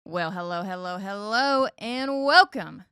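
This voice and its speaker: A woman's voice: medium pitch, animated, measured pace, sharp tone, smooth, dry recording, clean studio sound, faint music behind. A woman co-hosting a podcast, chatting in a bubbly, playful way. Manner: bubbly, laughing, playful teasing. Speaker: podcast co-host. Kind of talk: casual chat.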